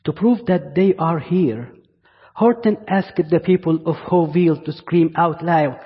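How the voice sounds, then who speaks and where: Slow Egyptian-accented male preacher in a reverberant hall. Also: on the high side. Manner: deliberate, with pauses and repeated phrases for emphasis. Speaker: guest preacher. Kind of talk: sermon to a congregation. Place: large indoor church space. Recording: muffled, reverberant church hall sound.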